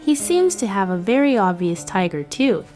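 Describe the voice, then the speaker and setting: A woman's voice: mid-range voice, big pitch swings, moderate pace, darkish tone, faint music behind. A woman giving a scripted first-person narration for a romance comic dub.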